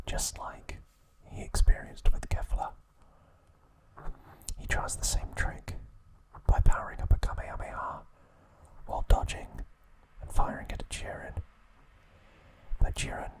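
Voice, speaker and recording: Male, whispered ASMR narrator, unhurried pace, hushed delivery, short deliberate phrases. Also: poor audio. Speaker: ASMR host.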